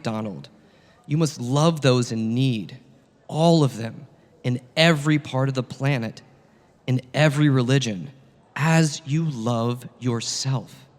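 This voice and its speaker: A man playing a patient, earnest teacher of parables in a satirical dramatic reading, solemn and deliberate, with long echo around the voice. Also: mid-range voice, close to an everyday voice. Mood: earnest, insistent. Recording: long reverberant hall echo.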